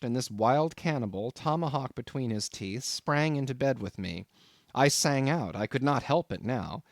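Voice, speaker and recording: A man's voice: mid-range voice, dry recording, clean studio sound. A man reading a literary novel aloud as scripted audiobook narration. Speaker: audiobook reader.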